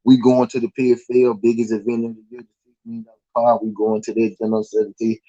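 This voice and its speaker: Laid-back Southern man, low mellow voice. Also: completely flat delivery, fast, lo-fi sound. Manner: laid-back, slangy, rambling with many fillers. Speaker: podcast host. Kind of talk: casual live-stream chat.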